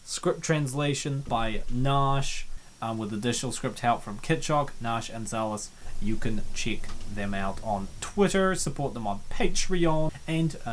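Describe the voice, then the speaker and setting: A let's-play host giving casual, chatty commentary and reading the on-screen game text aloud. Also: mid-range voice.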